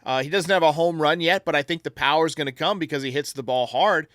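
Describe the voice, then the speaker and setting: A man's voice: a little high, fast talker, fairly bright tone, dry recording, studio quality. A man hosting a podcast, answering fan voicemails with emphatic, opinionated sports talk. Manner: emphatic, argumentative.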